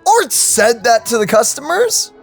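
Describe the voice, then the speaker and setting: Animated woman reacting to a game. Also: fairly high pitch, unhurried, vocal fry, slightly rough, soft background music. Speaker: gaming channel co-host. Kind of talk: casual let's-play reaction commentary.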